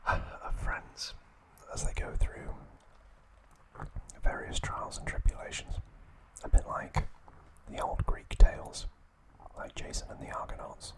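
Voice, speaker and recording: A young man hosting ASMR in a breathy, unvoiced whisper, halting and hushed, close to the mic. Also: low-quality recording. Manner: slow, hushed, halting with long pauses. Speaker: host of an ASMR channel. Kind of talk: ASMR, whispered informal trivia talk.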